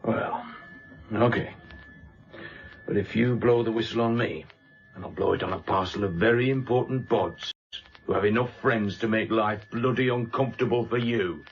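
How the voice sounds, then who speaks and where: A man's voice: fairly low pitch, moderate pace, lightly acted. Surly British male suspect played by a man. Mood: guarded. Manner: blunt, combative, evasive. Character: defiant, surly married male murder suspect. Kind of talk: naturalistic radio drama acting. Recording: dull lo-fi radio recording, faint music.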